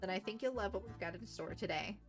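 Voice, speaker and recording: A woman's voice: mid-range voice, quick pace, music in the background, close to an everyday voice. Woman playing a breezy, teasing adventurous date.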